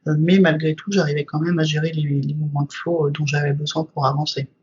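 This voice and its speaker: A woman's voice: low-pitched, flat delivery, fast, darkish tone, breathy edge, dull audio. A woman, a guest trainer, explaining her subject at length in casual conversation, with frequent fillers.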